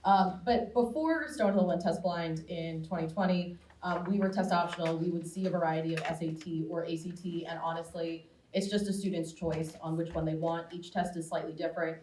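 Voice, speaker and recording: A woman admissions counselor talks on a school panel, friendly and chatty with plenty of ums. Her voice sits a little low. The sound is echoey and distant, with faint noise behind. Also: a little fast, a little mellow. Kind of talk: panel Q&A answer. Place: school auditorium. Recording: echoey hall, distant mic.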